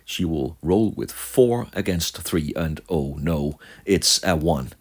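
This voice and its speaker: A man narrating a tabletop wargame play by play in measured, deliberate short phrases. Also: a bass voice, expressive. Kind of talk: play-by-play narration of miniature game moves.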